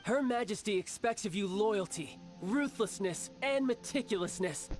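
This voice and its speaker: A man's voice: high voice, animated, moderate pace. A man playing a stern, grandiose young military commander in a video game, speaking in formal, declamatory oratory. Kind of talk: theatrical game dialogue. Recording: game music behind the voice.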